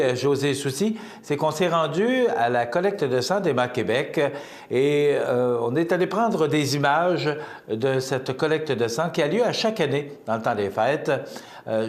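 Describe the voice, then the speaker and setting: A man with a Quebec French accent hosts a local morning show in a roomy, echoey studio. He rambles along with fillers and restarts. His pitch sits at a middling level. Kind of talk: casual on-air news chat.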